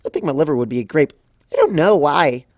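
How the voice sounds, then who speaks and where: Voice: medium pitch, expressive, fast, a little mellow, no room sound, studio quality, voice pushed up. A reader voicing a hyper, giddy young female pony in a storybook reading, rambling and sing-song. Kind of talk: audiobook fanfic reading.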